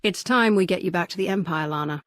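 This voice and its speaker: An actress playing a weary, forgiving female commander in a sci-fi game, measured and composed. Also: on the low side, a bit bright, dry recording, close to an everyday voice. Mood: weary, resolute. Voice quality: gravelly, husky. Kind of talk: video game cutscene acting.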